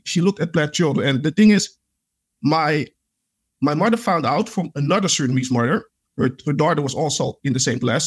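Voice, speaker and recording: Man, slightly high voice, husky tone, reflective interview talk. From Netherlands. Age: adult. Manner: earnest, reflective, leans on "like" fillers. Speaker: podcast guest. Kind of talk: conversational interview storytelling.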